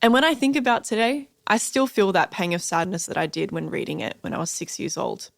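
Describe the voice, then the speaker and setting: A woman's voice: medium pitch, expressive, brisk, creaky, no room sound, clean studio sound. A woman with an Australian accent reading scripted narration as a radio host.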